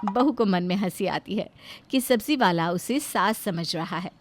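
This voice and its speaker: A woman's voice: mid-range voice, expressive, a little slow, music in the background. A woman narrating a scripted family story as a storyteller.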